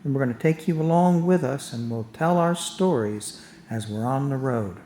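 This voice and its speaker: A man's voice: medium pitch, animated, normal speed, warm tone, echoey room, slight background noise. An American man talking casually with his wife, supportive and teasing, while out on a drive. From USA. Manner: supportive, teasing, many short backchannels. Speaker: co-host husband. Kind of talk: casual chat. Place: in a car.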